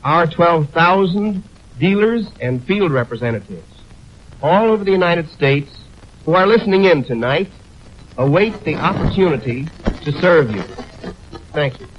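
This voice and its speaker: An old, muffled radio recording of a man making a sponsor's address. He speaks formally from a script, in a slightly high voice, as a company manager would in the late 1920s. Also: animated, moderate pace, a little hiss or hum. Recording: muffled 1929 radio aircheck on film.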